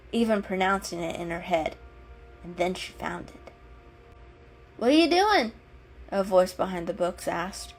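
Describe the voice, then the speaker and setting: A woman's voice: mid-range voice, low-quality recording. A woman giving a dramatic storybook narration. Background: music.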